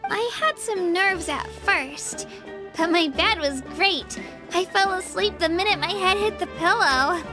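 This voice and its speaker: A woman's voice: extremely high pitch, unhurried, music in the background. A woman voicing a small, earnest young girl in a video game, eager and humble, a little unsure of herself. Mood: friendly.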